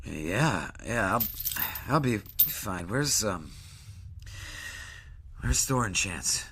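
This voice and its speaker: A man playing a weary imprisoned spaceship captain in an audio drama, halting, subdued and wry. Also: lowish voice, big pitch swings, fairly bright tone, a little hiss or hum, exaggerated voice.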